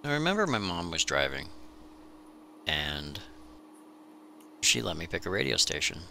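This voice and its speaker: A deep male voice with a creaky, gravelly grain narrates a maker video. He delivers scripted storytelling in deliberate phrases, with a crisp, bright edge. Faint noise sits behind the voice-over. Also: big pitch swings.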